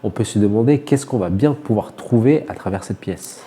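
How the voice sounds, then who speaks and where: A man's voice: lowish voice, normal speed, dark tone. A man with a French accent from France, a radio interviewer putting prepared questions to a guest.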